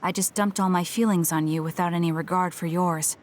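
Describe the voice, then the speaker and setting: A woman's voice: fairly low pitch, fairly even intonation, brisk, darkish tone, a little hiss or hum, close to an everyday voice. An actress playing a stern, reserved but caring young female soldier in a video game, with a cool, matter-of-fact delivery. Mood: earnest, contrite. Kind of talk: video game English voice acting. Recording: game music behind the voice. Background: game music.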